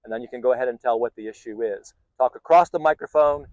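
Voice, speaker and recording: A man giving informal, practical instruction as a sailing teacher, explaining step by step with some halting self-corrections. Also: mid-range voice, darkish tone, dry recording, rough recording. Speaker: sailing instructor and channel host. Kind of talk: informal instructional talk to viewers.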